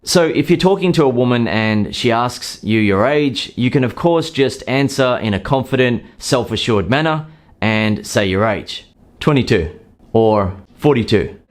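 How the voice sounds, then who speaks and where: Guy giving dating advice, calm steady explainer, mid pitch. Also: a little slow. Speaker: dating coach. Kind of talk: scripted advice monologue.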